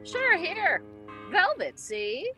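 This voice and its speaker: Shrill, swooping, cheeky female wisecracker, slangy old-time radio drama. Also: sing-song, drawn-out words, sharp tone, soft background music, exaggerated voice. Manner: slangy, uneducated wisecracking speech. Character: brash, slangy female street witness, eager and cheeky. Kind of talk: 1940s radio comedy-drama acting.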